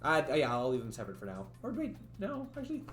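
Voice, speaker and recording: A man streaming live, thinking aloud as he codes and chats with viewers, his voice a little raspy from talking for hours. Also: on the high side, wildly swooping pitch, quick pace, fairly bright tone. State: voice getting raspy from long talking. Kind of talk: casual livestream coding commentary. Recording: roomy echo, faint music.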